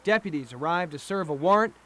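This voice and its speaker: A man's voice: fairly high pitch, animated, fairly quick, pushed and bright. A man with an American accent delivering scripted television news narration as a reporter. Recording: faint background noise.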